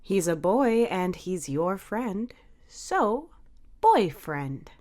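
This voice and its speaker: A woman's voice: medium pitch, very animated, very slow, a little mellow. Woman playing a chatty, teasing mom. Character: friendly, teasing mother of a teenager.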